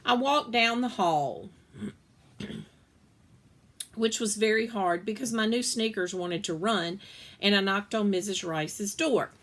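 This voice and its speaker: A woman reads a children's story aloud with plenty of expression. She narrates as a storyteller and plays out the characters' dialogue, at a medium pitch. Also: lively intonation, takes it slowly, pauses often, fairly bright tone. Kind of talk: children's book read-aloud.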